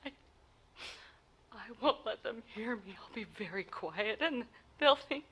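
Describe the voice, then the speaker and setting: A woman's voice: fairly high pitch, animated, unhurried, fairly bright tone, breathy edge, heavily acted. A woman acting a nervous, demanding invalid wife in a 1940s radio play, stammering with urgency. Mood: hushed, frightened. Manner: stammering, breathless urgency, haughty insistence.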